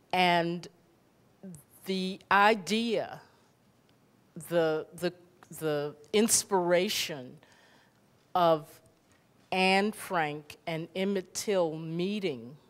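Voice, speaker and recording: A middle-aged woman speaking earnestly and deliberately in reflective unscripted remarks on stage, through a handheld microphone. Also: low voice. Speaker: theater director and teacher. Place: stage in an auditorium.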